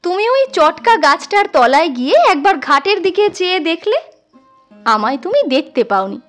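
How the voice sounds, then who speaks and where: A woman's voice: extremely high pitch, sing-song, moderate pace, a bit bright, exaggerated voice. A woman voicing a playful, caring, simple-hearted village sister-in-law in a storybook reading. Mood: teasing. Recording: music under the voice.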